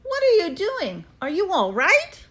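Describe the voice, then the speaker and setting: A woman's voice: very high-pitched, wildly swooping pitch, a little slow, fairly bright tone, pitched above the performer's own voice, full character voice. A woman voicing an anxious, pleading young boy in a children's storybook. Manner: urgent, pleading. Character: anxious, pleading young boy who overfed his fish. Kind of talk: children's storybook read-aloud.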